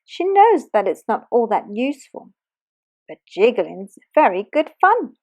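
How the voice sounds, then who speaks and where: A woman reading a rhyming children's picture book aloud in an animated, sing-song way. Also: a little high, unhurried. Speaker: storybook reader.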